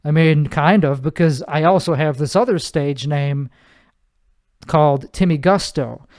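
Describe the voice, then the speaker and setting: A man with a nasal, slightly high voice talks through his solo podcast in a loose, rambling way, often stopping mid-sentence to correct himself. His tone is smooth and clear, and he speaks from his bedroom. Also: a little slow, darkish tone. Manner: rambling, self-correcting, many fillers. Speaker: podcast host. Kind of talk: casual solo podcast monologue.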